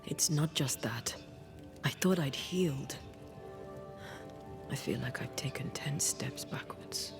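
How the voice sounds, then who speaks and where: An actress playing a passionate, brooding ghost woman in a video game, with a breathy, whispery, intimate delivery. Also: extremely low pitch, music in the background, exaggerated voice. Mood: troubled, confiding. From Cuba (the character). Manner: slow, hushed, deliberate.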